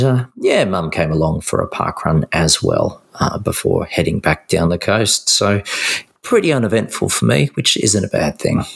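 Deep-voiced Australian man, slightly croaky. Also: fairly bright tone. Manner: chatty, halting with many fillers. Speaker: podcast co-host. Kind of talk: casual chat.

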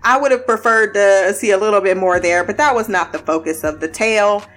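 A woman's voice: mid-range voice, fairly quick, a bit bright, faint music behind. A woman hosting a casual TV review podcast, blunt, laughing often and swearing freely. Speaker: podcast host.

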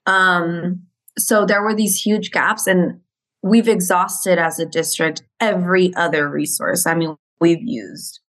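A woman's voice: on the low side, steady tone, slow pace, a bit bright. A Mexican-born woman teacher earnestly explaining a reading program in an interview. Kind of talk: interview conversation.

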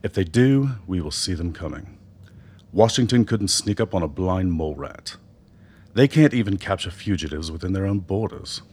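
An actor in a radio drama playing an older doctor, a persuasive political mentor who speaks in measured, eloquent phrases. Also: male voice, low-pitched, expressive, darkish tone, breathy edge. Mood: scornful, confident. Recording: noticeable background noise.

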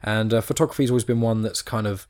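A young man with a stuffed-up nose from hay fever hosts a chat podcast, talking quickly with plenty of ums and asides. His voice sits somewhat low, and the recording is close and dry, with no room sound. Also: fairly even intonation, darkish tone, no room sound. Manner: rambling, many ums and sort-ofs. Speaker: podcast host.

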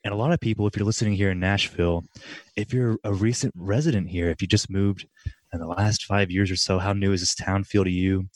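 A man's voice: deep voice, fairly even intonation, a little mellow, no room sound. A young man from Middle Tennessee hosting a faith radio talk show, friendly and casual, with frequent "uh" fillers. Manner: friendly, fills pauses with "uh", laughs easily.

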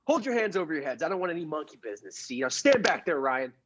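A man's voice: fairly high pitch, very animated, fast, lo-fi sound. A man playing a meek daydreaming clerk who puts on a swaggering movie gangster, in a comic radio play. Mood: menacing swagger. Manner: stammering when meek, clipped gangster swagger when posing. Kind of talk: improv podcast performance of classic radio comedy play.

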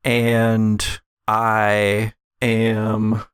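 A man voicing a shaken, confused man in a gothic horror game, stammering and halting. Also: on the low side, completely flat delivery, smooth, no echo, clean studio sound, voice pushed down. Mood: dazed, freaked out.

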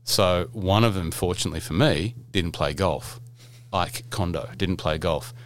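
A middle-aged man hosts a relaxed podcast chat in a low, deep voice. He rambles, jokes and restarts his sentences often, speaking in a loose, conversational way. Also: measured pace. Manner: chatty, rambling, jokey, many fillers and restarts. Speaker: podcast host. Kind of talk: casual podcast interview.

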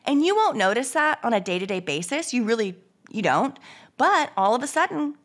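A woman with a hoarse, congested voice from a cold, chatting casually as a podcast co-host and rambling through many restarts. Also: on the high side, big pitch swings, brisk, fairly bright tone. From United States. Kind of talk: casual chat.

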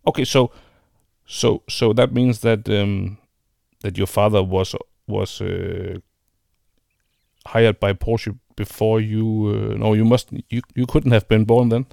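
A man hosts a casual interview in a very dry, close-sounding recording. His voice is clean and resonant with some creak at the edges. He phrases his questions haltingly, repeating words as he searches for the next one. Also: on the low side, fairly even intonation, dark tone, dry recording. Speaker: podcast host.

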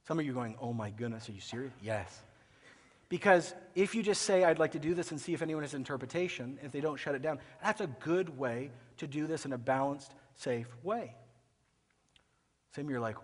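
A fairly high-voiced man preaching in a large auditorium, his words trailing into a long hall reverb. He grew up in Ecuador and talks to the congregation in a lively, conversational way, slipping into quick acted asides. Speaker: pastor. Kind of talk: sermon.

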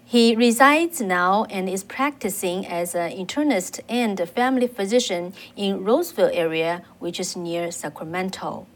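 A woman, hosting and narrating a political segment in a measured way, with frequent fillers. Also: mid-range voice. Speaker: interviewer and host. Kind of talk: scripted news commentary.

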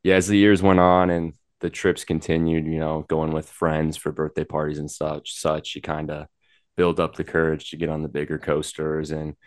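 A man from Wisconsin with a very deep voice, a little sick, chatting easily as an interview guest. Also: completely flat delivery, moderate pace, no room sound, studio quality. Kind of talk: casual interview chat.

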